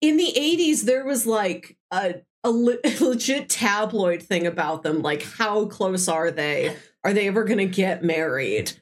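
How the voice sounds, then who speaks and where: A woman in casual podcast conversation about film, talking like an enthusiastic fan and telling stories in an animated way. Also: medium pitch, a little slow, few pauses. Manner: enthusiastic, fannish, animated storytelling. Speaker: podcast co-host. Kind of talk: casual film discussion chat.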